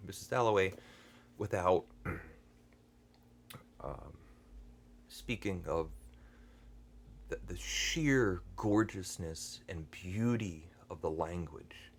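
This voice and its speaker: A man with a very low, deep voice talks slowly and unhurriedly about books he loves. He is hesitant and earnest, filling pauses with ums, restarting phrases and clearing his throat often. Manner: thoughtful and earnest. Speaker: book channel host. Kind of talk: unscripted book review talk.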